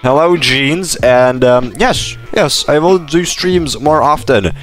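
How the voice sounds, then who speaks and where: A young man from Lithuania, a native Lithuanian speaker with British influence in his accent, giving casual gaming commentary in an animated, rambling way. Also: fairly high pitch, takes it slowly. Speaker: gaming YouTuber. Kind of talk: casual Q&A commentary over gameplay. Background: background music.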